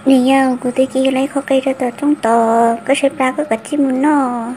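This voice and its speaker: A woman's voice: sits high, little pitch movement, normal speed, darkish tone, slight background noise, natural voice. A woman voicing a female character in a family folk-tale cartoon. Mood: conversational.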